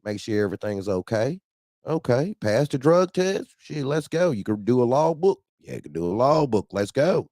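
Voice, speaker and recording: A man's voice: medium pitch, moderate pace, no echo. Slangy man hosting, dry close sound. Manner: slangy, animated anecdote telling with acted-out quotes. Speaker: call-in show host. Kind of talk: casual trucking chat and storytelling.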